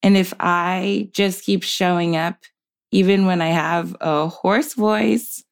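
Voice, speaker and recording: A young woman in her twenties talks as a podcast guest, unhurried and thoughtful. Her voice sits a little low for a woman, and she takes her time. Soft laughs come between her measured points. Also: steady tone, creaky, clean tone. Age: 20s. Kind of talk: reflective conversational interview.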